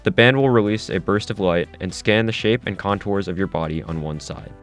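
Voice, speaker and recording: Casting: male actor as low-voiced tech pitchman for an audio drama, slick, salesmanlike, walking customers through a product. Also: fairly quick, soft background music. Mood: polished sales pitch. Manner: salesmanlike, rhetorical, instructive. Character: slick male tech company head engineer pitching a gadget. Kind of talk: scripted infomercial presentation.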